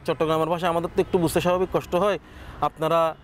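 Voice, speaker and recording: A man's voice: fairly high pitch, level tone, quick pace, a little hiss or hum. A young man with a Chattogram accent, a comedy content creator giving casual interview answers. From Chattogram, Bangladesh.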